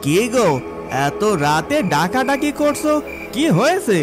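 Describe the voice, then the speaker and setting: Man acting a very high-voiced, startled villager. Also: very animated, a little slow, over music, full character voice. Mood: puzzled. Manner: alarmed, concerned. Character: startled, helpful village neighbour man, an elder brother figure. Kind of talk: animated horror story acting.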